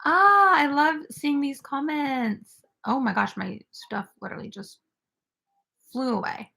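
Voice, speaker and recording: A woman's voice: high-pitched, unhurried, vocal fry, pushed and bright. A young woman hosting a casual crochet live stream, chatting with viewers and filled with "like" and "um". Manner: chatty, filler-heavy, reads viewer comments aloud.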